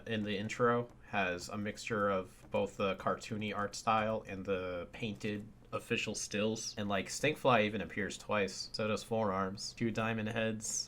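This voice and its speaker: A man's voice: fairly low pitch, fairly bright tone, slight background noise. A man hosting an animation review, speaking in an earnest, analytical way as he mixes scripted narration with casual commentary. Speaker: reviewer and host of an animation retrospective.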